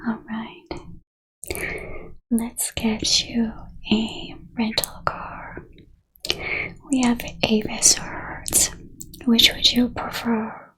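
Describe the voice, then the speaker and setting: A woman acts out a polite lady ghost who staffs an airline desk in an ASMR role-play. Her voice is fairly high and breathy, often dropping into a whisper. She speaks very slowly, with calm, gentle customer-service courtesy. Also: rough recording. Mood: polite, attentive. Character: calm, polite female ghost from 1935 working as airline gate agent.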